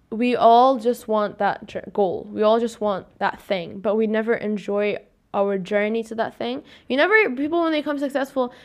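Woman, young adult, podcast host, scratchy voice, a bit high, rambling casual talk. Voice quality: scratchy, deepens with long talk. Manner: rambling, self-correcting, upbeat sign-off.

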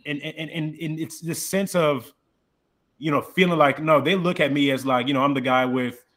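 A man who is an author, telling personal stories in a relaxed, reflective way in casual conversation. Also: a little high, moderate pace. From Congo, raised partly in South Africa and Texas.

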